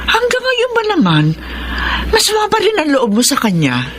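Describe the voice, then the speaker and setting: A woman's voice: very high voice, huge pitch swings, normal speed, a bit bright, a little hiss or hum, full character voice. An actress playing a caring middle-aged farm wife and mother who talks in a worried, pleading way. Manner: pleading, concerned. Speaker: radio drama actress.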